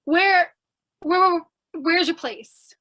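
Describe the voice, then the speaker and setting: A woman's voice: extremely high pitch, drawn-out words, bright, forceful tone, heavily acted. A woman playing a scatterbrained, chatty, eager young lady reporter, earnest and theatrical, in old-time radio crime comedy style. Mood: breezy, oblivious.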